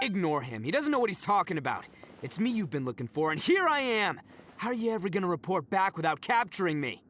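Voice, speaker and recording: Brash, animated oni warrior. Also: male voice, high voice, fast talker, background noise. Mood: defiant. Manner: bold, emphatic, informal tough-guy talk. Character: brash, big-hearted male oni warrior, stubbornly loyal to his kin. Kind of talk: dramatic game voice acting. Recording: background music under the voice.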